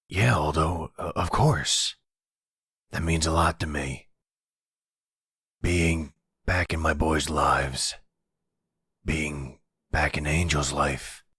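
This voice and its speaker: Man playing a soft, low, heartfelt father. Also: husky, no echo, clean studio sound, exaggerated voice. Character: grateful middle-aged father rejoining his family, sincere, humble. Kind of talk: audio roleplay acting.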